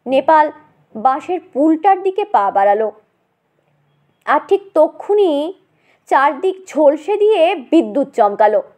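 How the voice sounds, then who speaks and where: A woman narrating a comic short story in an expressive, theatrical manner. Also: high-pitched, a little slow, pauses often.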